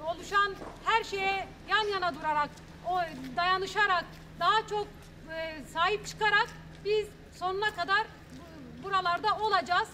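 A woman in her thirties speaks in a very high, bright voice, giving an earnest press statement outdoors. She talks steadily but halts and restarts words now and then. A faint outdoor ambience sits behind her. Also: moderate pace, a little hiss or hum. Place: outdoors in front of earthquake rubble.

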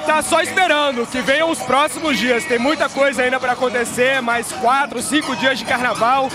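A man in his thirties with a strikingly high voice answers enthusiastically, raising it over the noise. He speaks into a handheld mic at an outdoor carnival at night while music and a crowd carry on behind him. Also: fairly even intonation, moderate pace. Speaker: carnival guest. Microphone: handheld mic held by interviewer.

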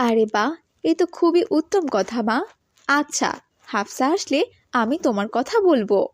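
A woman voicing a kindly, pious village mother with a gentle, encouraging manner in a cartoon. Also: a little high, lively intonation, moderate pace, dry recording, natural voice. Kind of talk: children's moral cartoon dubbing and narration.

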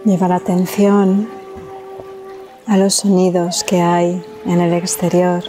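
A woman from leads a guided meditation in a calm, soothing way, leaving long pauses between phrases. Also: fairly low pitch, soft background music.

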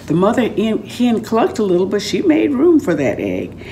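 An older woman reading a story aloud in an expressive, dramatic and deliberate storytelling manner. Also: mid-range voice, slight background noise. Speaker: author reading aloud from own book. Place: room at home, indoors.

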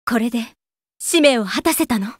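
A woman voicing a devout young female warrior in a fighting game, polite and earnest, with sharp battle cries. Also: on the high side, expressive, moderate pace, no echo, studio quality. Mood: soft. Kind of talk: game voice lines, battle shouts.

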